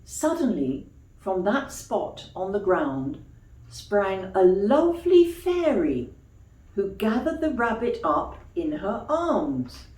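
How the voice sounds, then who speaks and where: A woman's voice: medium pitch, big pitch swings, slow, a little mellow. A woman reading a children's story expressively, as a storyteller addressing young listeners. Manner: explains words to young listeners in asides. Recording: echoey room, distant mic.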